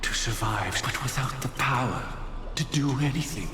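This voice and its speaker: A man playing a grave, world-weary figure in a game, speaking with solemn, deliberate formality. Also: mid-range voice, big-room sound, over music, natural voice. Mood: grave, resigned. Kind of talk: dramatic scripted game dialogue.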